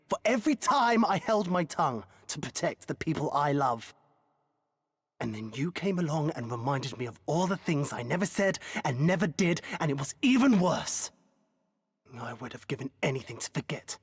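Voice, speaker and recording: An actor playing a defiant, guilt-ridden young rebel in a game cutscene, emotional, earnest and intense. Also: male voice, on the high side, slightly rough, no echo, studio quality. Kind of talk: dramatic game cutscene acting.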